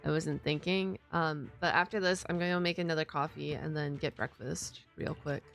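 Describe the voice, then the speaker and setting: A woman streaming a video game, chatting casually and informally with excitable, exclamatory reactions. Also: sits low, moderate pace, creaky. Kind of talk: casual live reaction chat. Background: game audio and music.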